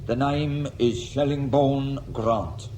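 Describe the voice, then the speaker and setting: An actor playing a pompous, wry senior investigator in a radio drama, wordy, condescending and mock-polite. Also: male voice, medium pitch, steady tone, slow pace, lightly acted. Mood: wry, suspicious. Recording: faintly noisy 1980s radio drama.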